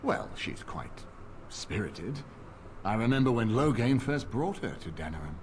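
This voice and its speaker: A man playing a shrewd older nobleman in a fantasy video game, courtly and deliberate, with a wry, cautious manner. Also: medium pitch, sing-song. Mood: wry, wary. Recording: game audio with clear background noise.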